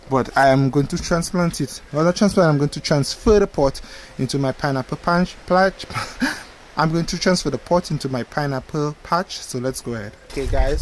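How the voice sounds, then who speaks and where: A man's voice: fairly high pitch, slight background noise. A young man, casually explaining how he grows plants while standing outdoors in his backyard garden, close to the camera. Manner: relaxed explanatory, points at the plant as he talks. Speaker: gardening channel host. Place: outdoor backyard garden beside a hoop house. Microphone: camera close up at face level.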